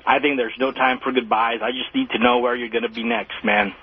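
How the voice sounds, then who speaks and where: An earnest male caller who stumbles over his words as he praises the host. His voice is somewhat husky. It comes through a thin, tinny phone line. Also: medium pitch, flat delivery, a little fast.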